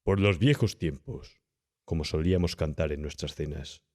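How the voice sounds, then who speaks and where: A man's voice: a bass voice, moderate pace, warm tone. A man playing an eminent older doctor in a horror audiobook, pompous and falsely courteous, with a Castilian Spanish accent. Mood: falsely cordial. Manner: pompous, condescending, evasive politeness. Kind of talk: dramatised audiobook horror tale.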